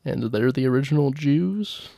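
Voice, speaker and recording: Gravelly, dark-voiced man chatting casually. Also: mid-range voice, moderate pace, no echo. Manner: laid-back, trails off, laughs often. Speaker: podcast co-host. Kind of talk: casual comedy podcast chat.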